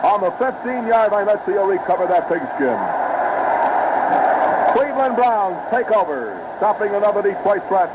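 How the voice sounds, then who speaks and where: A man's voice: high voice, lively intonation, moderate pace. An American man calling football play-by-play on 1950s radio in a formal, detailed and dramatic manner, on a noisy vintage broadcast recording. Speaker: sports radio play-by-play announcer.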